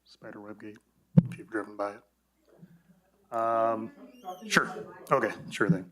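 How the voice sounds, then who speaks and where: A man with a low voice speaks at a village board meeting during public comment. He sits on the deeper side of ordinary and states his points bluntly and directly. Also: steady tone, takes it slowly, pushed and bright, rough recording.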